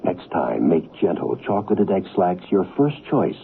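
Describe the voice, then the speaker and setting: A man's voice: low voice, very animated, unhurried, gravelly, husky. A man reading a scripted radio advertisement as a commercial announcer. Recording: muffled phone-like old radio.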